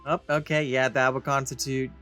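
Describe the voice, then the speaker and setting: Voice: medium pitch, little pitch movement, moderate pace, a bit bright. A let's play host giving casual game commentary, muttering reactions aloud along the way. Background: game music.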